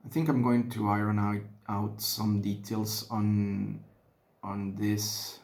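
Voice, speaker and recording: Spanish-accented man, lowish gravelly voice, unhurried and halting, casual hobby vlogger at his desk. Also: little pitch movement, darkish tone. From Mexico. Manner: halting, thinking aloud with many restarts and fillers. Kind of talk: casual talk while painting miniatures.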